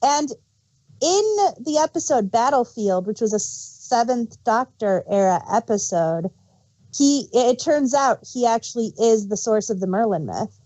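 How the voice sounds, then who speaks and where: A woman's voice: a little high, animated, unhurried, dark tone, dry recording, poor audio. A woman co-hosting a fan review show, casually explaining details and filling in references in an informative way.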